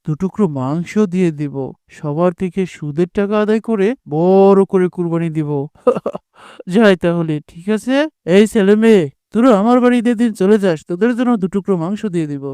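A cartoon village moneylender, acted by a man, with a high-pitched male voice. He talks in a bossy, blustering way, and the sound is mellow and dry. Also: normal speed, clean tone, no room sound, natural voice. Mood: smug. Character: harsh, bullying moneylender man, later humbly repentant. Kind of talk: cartoon voice acting.

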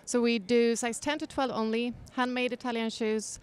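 A woman introducing her shoe line at a press junket in a measured, plain way. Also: a little high, little pitch movement, clear, resonant tone. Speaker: shoe brand founder.

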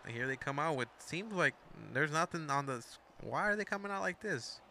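A young man calls a wrestling game in a loose, rambling play-by-play, stopping to correct himself and toss in asides. His voice is very bright and sharp, over a faint hiss of noise. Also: fairly high pitch, animated, a little fast. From Mexican. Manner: rambling, chatty, self-interrupting with asides. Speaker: fan commentator of a wrestling video game storyline. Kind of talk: casual play-by-play commentary.

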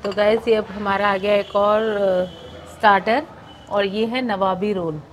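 A woman's voice: medium pitch, steady tone, unhurried, a little hiss or hum. A woman vlogger hosting a hotel tour, chatty and inviting, pointing things out to viewers. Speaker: travel vlogger host. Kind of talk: hotel tour vlog narration. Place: hotel interior and restaurant.

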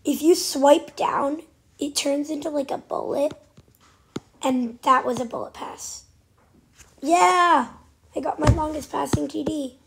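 A woman with a cold giving casual, excitable commentary while playing a game, sometimes dropping to a whisper. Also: high-pitched, a little slow, gravelly, pauses often, low-quality recording. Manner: chatty, excitable, trailing off into whispers. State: has a cold. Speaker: gaming channel host. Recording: faint background noise.

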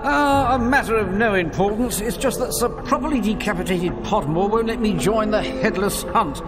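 A high-voiced, courtly English gentleman ghost, played by a male actor, fussy and wistful. His delivery is airy and theatrical, game-style, with music behind. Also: moderate pace, lightly acted. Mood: wounded pride. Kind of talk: video game cutscene acting.

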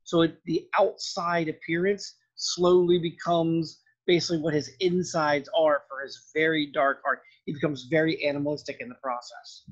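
A man's voice: fairly high pitch, steady tone, moderate pace, hardly any pauses, highs cut off. A man giving a lecture over slides in an explanatory, loosely worded, conversational way. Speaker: film and art history lecturer.

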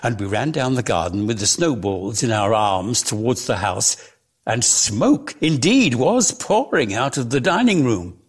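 A man giving a dramatic reading of a literary story in a measured, theatrical way, lingering on his words. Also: mid-range voice, sing-song, breathy edge. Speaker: reader.

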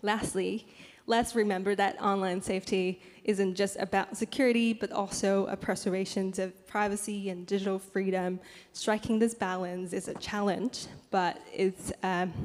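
A young woman whose first language is Cantonese, reading a prepared statement from her laptop into a handheld microphone at a conference, with frequent pauses and ums. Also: mid-range voice, level tone. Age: young adult. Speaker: youth panel speaker. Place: large conference hall. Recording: reverberant conference hall.

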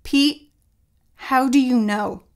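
An actress playing a bewildered, insistent woman in an audio drama, questioning urgently and repeating herself in halting bursts. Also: high voice. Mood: insistent, incredulous. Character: bewildered, insistent wife stranded in a strange world.

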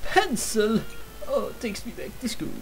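Lively goofy woman narrating a game. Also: a little high, measured pace, over music, rough recording. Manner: goofy, talks to her tools in mock drama. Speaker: gaming YouTuber. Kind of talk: comedic let's play commentary.

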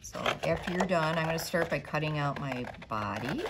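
Low-voiced woman leading a kids' craft. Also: normal speed, heavy background noise. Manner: animated storybook reading with big sound effects. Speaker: children's librarian. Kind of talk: storytime reading and craft demo. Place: library storytime room, indoors.

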